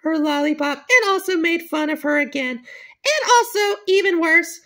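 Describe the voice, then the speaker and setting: A woman acting an indignant, complaining character in an amateur school cartoon story, with a whiny, halting delivery. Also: very high voice, pushed and bright, full character voice. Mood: aggrieved. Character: indignant, tattling schoolteacher and wronged young bear pupil. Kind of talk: amateur role-played children's cartoon story.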